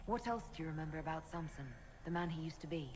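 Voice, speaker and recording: A woman's voice: low-pitched, lively intonation, quick pace. An actress playing a composed, compassionate female leader in a video game, speaking in a direct, questioning way. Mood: composed, concerned. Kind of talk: dramatic video game acting. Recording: clear background noise.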